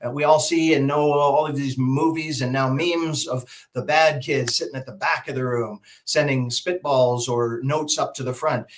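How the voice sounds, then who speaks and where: A man's voice: medium pitch, steady tone, normal speed, few pauses. Emphatic middle-aged Canadian man, polished speaker. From Alberta, Canada. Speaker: guest, public speaker and emcee. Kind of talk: interview answers, advice. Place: video call.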